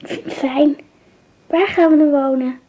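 A woman's voice: high-pitched, fairly even intonation, slow pace, warm tone. A woman playing an innocent little girl at bedtime in a Dutch radio drama. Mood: innocent, sleepy.

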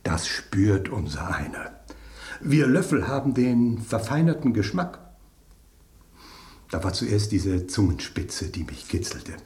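An actor in a German radio play voicing a proud, pompous soup spoon with a refined palate, savoring every detail. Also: male voice, on the low side, gravelly, warm tone, exaggerated voice.

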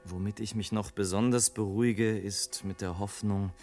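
An actor playing a scornful airship traveller in a radio play, delivering a sardonic, declamatory monologue as a bitter satirist of mankind. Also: male voice, fairly low pitch, steady tone, moderate pace, a little mellow, lightly acted. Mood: wry. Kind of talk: literary radio play monologue, late 1980s. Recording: music behind the voice. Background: music.

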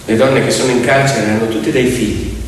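A man narrating as an actor in dramatic stage storytelling, declamatory and deliberate, in an echoey hall. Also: medium pitch, fairly even intonation, slight background noise. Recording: echoey hall, distant, loud music behind.

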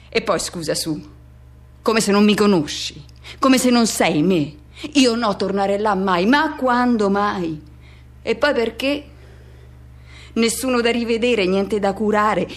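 Woman as restless loner, high-voiced radio monologue. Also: natural voice. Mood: defensive. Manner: colloquial self-questioning inner monologue, broken short phrases. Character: restless solitary middle-aged man revisiting his hometown.

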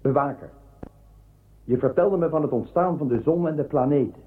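A man's voice: fairly high pitch, normal speed, husky. Man playing a sly emperor, narrow-band sound. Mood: imperious. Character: sly, imperious emperor of a planet. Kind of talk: science-fiction radio play acting. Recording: narrow phone-like band, faint noise.

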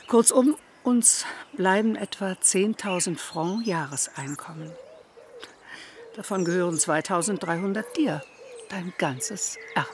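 An actress playing a sober, calculating middle-aged mother in a radio drama, measured and matter-of-fact. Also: lowish voice, slight background noise, lightly acted. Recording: faint birdsong and garden ambience. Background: birdsong.

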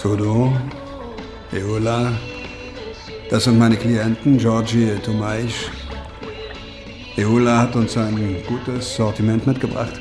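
Placating river guide, roomy sound. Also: male voice, fairly low pitch, level tone, slow, long pauses. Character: reassuring male river boatman and guide, placating. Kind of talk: radio play dialogue. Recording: roomy echo, music behind.

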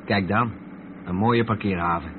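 An actor playing a calm truck driver who explains things patiently to his mate in a radio drama. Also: on the low side, normal speed, natural voice. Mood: patient, explanatory. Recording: tinny narrow-band radio recording with vehicle noise.

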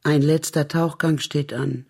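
A woman's voice: low voice, steady tone, a little slow, creaky, darkish tone, breathy edge, exaggerated voice. An actress playing a determined, brusque female scientist and submarine pilot in a radio crime drama, speaking with command. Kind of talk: radio play dialogue.

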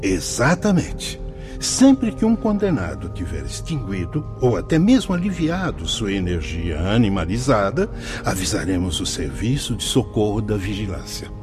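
Kindly spirit elder, solemn, declamatory, widely swooping intonation, radio drama, music underneath. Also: male voice, lowish voice, sing-song. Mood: solemn, explanatory. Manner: measured, paternal, preacherly phrasing. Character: kindly solemn spirit mentor, brother Anselmo, paternal guide. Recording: music behind the voice.

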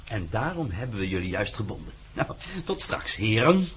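An actor in a radio play playing a menacing village cult zealot who taunts with smug, mock politeness. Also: male voice, on the low side, big pitch swings, moderate pace, fairly bright tone, husky, exaggerated voice.

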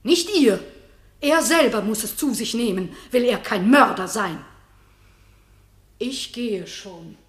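A woman's voice: fairly high pitch, expressive, takes it slowly, pauses often, roomy sound. An actress playing a bold, righteous, outspoken noblewoman in a classic German radio drama, declaiming with defiance. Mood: defiant, firm.

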